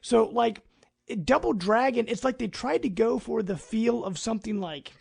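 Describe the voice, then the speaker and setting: High-pitched, gravelly man chatting on a podcast. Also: normal speed, darkish tone. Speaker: podcast host. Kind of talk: casual podcast discussion.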